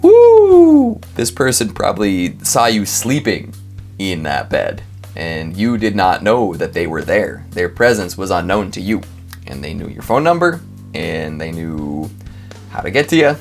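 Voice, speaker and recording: A man hosting a casual comedic reaction show, talking in an animated, wry, rambling way with sudden exclamations. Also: sits low, a little slow, soft background music. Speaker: host reacting to scary clips.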